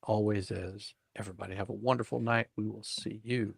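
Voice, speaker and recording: A man's voice: lowish voice, steady tone, brisk, creaky, breathy edge, no echo. A man hosting a live stream, chatting casually and jokingly with his co-hosts. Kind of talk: casual group chat, joking.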